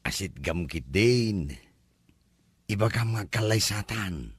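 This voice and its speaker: A man's voice: lowish voice, lively intonation, slow pace, slightly rough, dry recording, full character voice. A man with an Ilocano accent playing an exasperated, teasing countryman in a comedy-drama.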